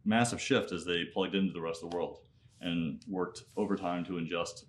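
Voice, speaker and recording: A man in his thirties who grew up in Nepal and speaks with an American accent. His voice sits on the low side. He tells his story conversationally and reflectively as an interview guest. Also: steady tone, normal speed, a little hiss or hum. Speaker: interview guest, MBA student and entrepreneur.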